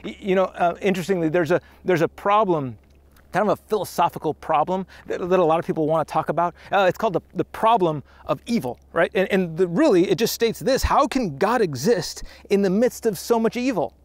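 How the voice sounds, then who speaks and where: Brief: man, 30s, pastor, outdoor sermon, higher-pitched, dry close-miked, earnest conversational delivery. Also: fairly quick, no room sound. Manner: earnest, conversational, with restarts and lively emphasis. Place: outdoors on a dirt trail.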